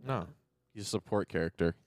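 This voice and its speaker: A man's voice: sits low, fairly quick, dry recording, clean studio sound. A man in his thirties co-hosting a podcast with casual, joking banter. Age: 30s. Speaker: podcast co-host.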